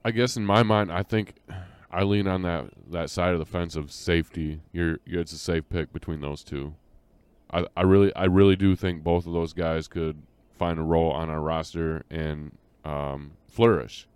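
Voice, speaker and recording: A man co-hosting a casual sports podcast, with a deep bass voice and a hesitant, measured way of talking. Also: steady tone, a little mellow, smooth, no echo. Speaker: podcast co-host and producer.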